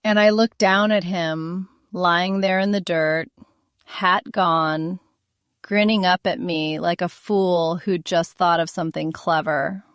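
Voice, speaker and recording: A woman acts out a proud former small-town beauty, now a dry-witted wife, in an audio drama. She talks very slowly and deliberately, with a wry edge, in a lowish female voice recorded close and dry. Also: fairly even intonation, a bit bright, clear, resonant tone, no room sound. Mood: dry amusement.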